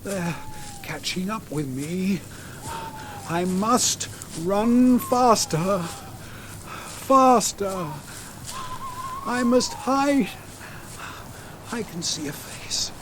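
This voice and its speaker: A man's voice: high voice, lively intonation, very slow, pauses often, background noise, exaggerated voice. An actor playing a withdrawn, haunted English writer and father in a radio play, guarded and reluctant in manner. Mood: terrified, hunted.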